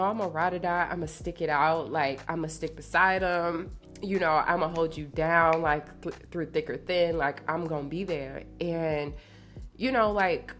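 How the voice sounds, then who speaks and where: Congested, low-pitched young woman podcasting. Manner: informal, confiding, slangy. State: congested from allergies. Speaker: podcast host. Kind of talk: casual chatty solo podcast. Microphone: podcast microphone at a desk.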